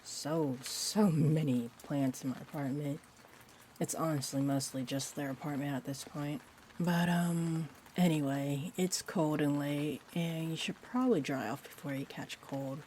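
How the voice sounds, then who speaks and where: A woman playing a sweet, shy female vampire in an intimate roleplay audio, rambling gently and hesitantly. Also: sits low, unhurried. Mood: admiring, caring. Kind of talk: roleplay audio, intimate one-sided chat. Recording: steady rain-like ambient noise. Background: rain ambience.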